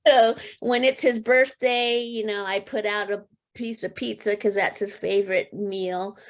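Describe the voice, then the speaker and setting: A middle-aged American woman, a podcast guest, telling a personal story in an earnest, halting way with many fillers and restarts. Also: mid-range voice, steady tone. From United States. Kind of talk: casual storytelling.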